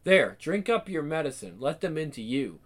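A woman's voice: low voice, big pitch swings, normal speed. A cold, domineering villainess who speaks with icy authority. Her tone is mocking and commanding throughout. It plays as a polished radio-drama villain.

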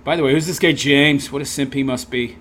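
A man's voice: mid-range voice, steady tone, a little fast, fairly bright tone, slight background noise. A man reading a text aloud and tearing into it with sarcastic, scornful commentary. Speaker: commentary video host.

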